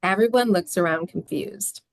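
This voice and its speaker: A woman's voice: mid-range voice, moderate pace, creaky, crowd noise. A woman reading scripted stage directions as a narrator.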